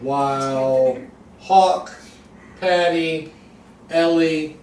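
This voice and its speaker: A man's voice: a little high, very slow, darkish tone. A man running a tabletop game as game master, joking and sarcastic with his players. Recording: echoey room, far from mic, faint noise.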